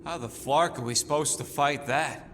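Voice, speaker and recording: A man's voice: medium pitch, normal speed, close to an everyday voice. Guy doing a snarky small raccoon space merc, game voice, really noisy behind him. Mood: sardonic, grim. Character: small, cynical, wisecracking male raccoon-like space mercenary. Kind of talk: cinematic video game acting.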